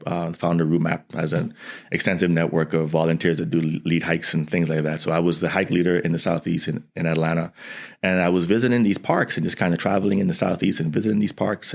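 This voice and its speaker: A man's voice: low voice, vocal fry. A man from the Bahamas, a scientist and educator, talking earnestly in an interview, often restarting his sentences. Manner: earnest, with frequent restarts and "you know". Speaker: guest, environmental scientist and educator. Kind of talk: conversational interview.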